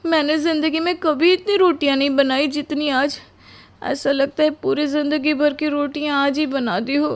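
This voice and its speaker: A woman acts out a young cartoon bride with a very high voice. She is overwhelmed and theatrical, exclaiming and complaining plaintively as if every chore were too much. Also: steady tone, moderate pace, natural voice. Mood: weary complaining. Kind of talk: animated story voice acting.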